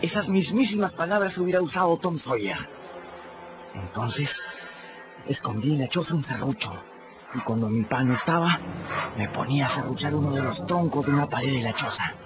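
An actor voicing a plucky, sly runaway boy in a radio drama, telling his story in the first person in a lively way. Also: medium pitch, moderate pace, heavy background noise, natural voice. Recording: dull lo-fi radio recording. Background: clear music bed.

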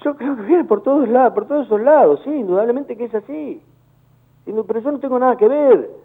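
A man's voice: very high voice, animated. Indignant Argentine man on a tinny phone line. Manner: indignant, repeats words in rapid bursts. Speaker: business chamber director on a call. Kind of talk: heated phone-in interview. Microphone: telephone.

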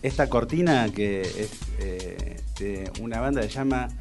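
A man with an Argentine accent hosting an informal radio interview, halting and digressive as he talks. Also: fairly low pitch, expressive, vocal fry, darkish tone. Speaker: radio host. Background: faint music.

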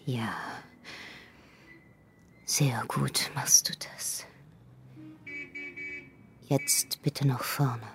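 An actress playing a seductive, brazen woman of the criminal underworld in a German radio drama, cheeky and given to breathy murmurs. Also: very deep voice, steady tone, drawn-out words, long pauses, in a whisper, heavily acted. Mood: seductive, flirty.

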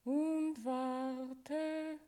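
A woman's voice: high-pitched, flat delivery, mellow. An actress playing a faithful, patiently waiting woman, singing lyrically and tenderly in a 1960s radio drama. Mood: tender, longing. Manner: slow, lyrical singing delivery. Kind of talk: lullaby-like song in 1960s German radio drama.

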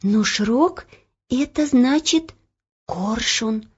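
A woman's voice: a little high, expressive, drawn-out words, breathy edge, voice pushed up, exaggerated voice. A woman voicing a timid young girl who speaks in nervous whispers. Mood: frightened. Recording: dull lo-fi recording.

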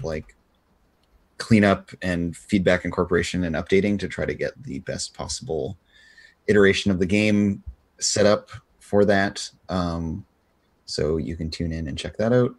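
A man who designs tabletop games, speaking relaxed and a bit wordy in casual chat. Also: low voice, vocal fry. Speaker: game designer.

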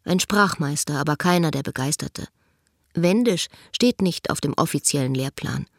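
An actress playing a wistful, guarded middle-aged woman who confides in measured, lyrical lines of a radio drama. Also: low voice, dry recording, clean studio sound. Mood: dry, bitter. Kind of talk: literary radio drama, first-person monologue and dialogue. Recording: music behind the voice. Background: music.

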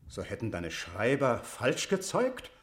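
An actor playing a devout, insistent priest hearing confession, in solemn, declaimed verse for a classical radio drama. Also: male voice, medium pitch, wildly swooping pitch, a bit bright. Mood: urgent warning. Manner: solemn, measured, liturgical declamation.

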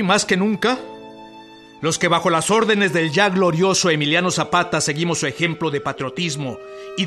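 Actor as fervent revolutionary general, oratorical. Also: male voice, a little high, lightly acted. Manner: oratorical, emphatic, solemn. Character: fervent male revolutionary general proclaiming a solemn manifesto. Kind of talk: radio drama, declaimed proclamation.

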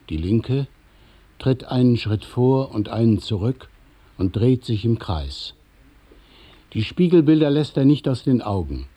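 A man narrating a radio play from a script, describing each movement in a measured, precise and detached way. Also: on the low side, warm tone, slightly rough.

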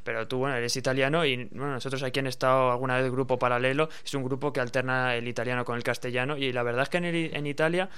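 Smooth-voiced enthusiastic radio host. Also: male voice, mid-range voice, fairly even intonation, fast talker, few pauses, a bit bright. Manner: enthusiastic, chatty. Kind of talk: radio music interview.

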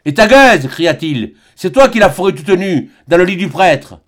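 A man voicing a harsh, fanatical friar who shouts in a hard voice. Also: high voice, big pitch swings, slow, pitched above the performer's own voice, heavily acted. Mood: furious.

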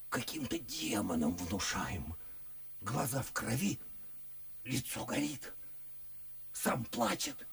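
An actor playing a boastful, good-natured, foolish gentleman in a radio play, gushing and confiding in theatrical verse with a husky voice. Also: mid-range voice, exaggerated voice. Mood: awed, boastful. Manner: gushing, exclamatory, conspiratorial, drawing words out.

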